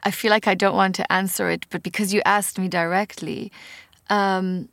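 A woman with an Israeli accent hosting a podcast interview, asking earnest, thoughtful questions in a gentle, probing way. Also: mid-range voice, flat delivery, moderate pace, no room sound, clean studio sound. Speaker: podcast host. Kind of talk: reflective interview conversation.

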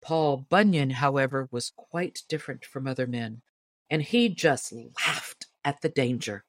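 A woman's voice: very deep voice, a little slow, no room sound. A woman reading a storybook tale aloud as scripted narration. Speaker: audiobook narrator.

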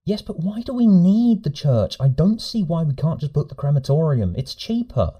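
A man's voice: on the high side, big pitch swings, normal speed, slightly rough, lo-fi sound. A man playing an irritable, defensive father in an audio story, arguing in an exasperated, indignant way. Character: Tim's irritable, defensive father in a marital row.

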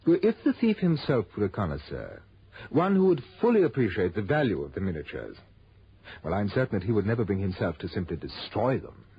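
A man's voice: fairly low pitch, sing-song, fairly quick, slightly rough, heavily acted. An actor playing a fussy, genteel, apologetic male gallery owner in a 1960s radio drama, with prim, formal, hesitant phrasing. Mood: hesitant, speculating. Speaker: supporting actor. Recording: dull old radio broadcast recording.

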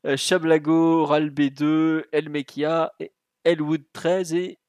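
A man hosting a casual live podcast, relaxed and chatty with plenty of fillers. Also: a little high, takes it slowly, clear, resonant tone, no echo. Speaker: podcast host.

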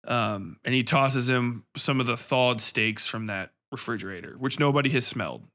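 A man's voice: medium pitch, moderate pace, sharp tone. A man hosting a podcast gives a casual, profane film review in a sarcastic, irreverent, rambling way, leaning hard on phrases for emphasis.

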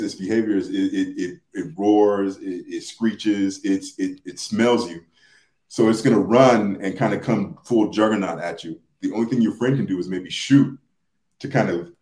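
A man talking casually about games as a podcast panelist, haltingly, often repeating and restarting his phrases. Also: fairly low pitch, mellow. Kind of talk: casual gaming discussion. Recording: roomy, echoing sound.

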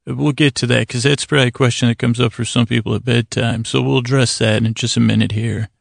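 Male sleep-podcast host, mid-pitched with plenty of vocal fry, meandering and soothing, drawn-out phrasing, stop-and-start. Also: fairly even intonation, no room sound, studio quality. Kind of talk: rambling bedtime monologue to lull listeners to sleep.

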